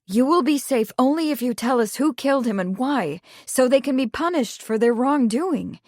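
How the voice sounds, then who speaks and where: A woman voicing a composed gentlewoman who speaks calmly and firmly. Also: high voice, no room sound, studio quality, pitched above the performer's own voice. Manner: calm, measured, refined.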